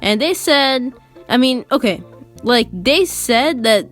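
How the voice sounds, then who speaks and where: A woman's voice: fairly high pitch, animated, takes it slowly, fairly bright tone. A teenage girl telling a personal story in a casual, rambling, conversational way with lots of filler words. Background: background music.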